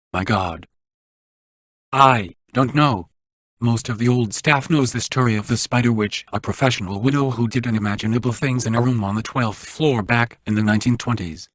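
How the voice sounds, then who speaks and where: A male actor plays an anxious hotel employee in a haunted-house video game. He sounds rattled, gloomy and weary in a medium-pitched voice, recorded close and dry. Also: a bit bright, no room sound, close to an everyday voice. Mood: uneasy.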